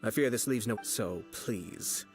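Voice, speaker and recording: An actor playing a polished, coolly formal male high official in a video game, speaking with clipped, measured courtesy. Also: soft background music. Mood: curt. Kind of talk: game voice acting.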